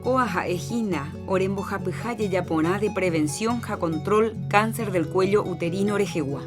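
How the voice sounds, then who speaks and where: A woman presenting a radio health program, reading a script in a measured announcing manner. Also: mid-range voice. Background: music.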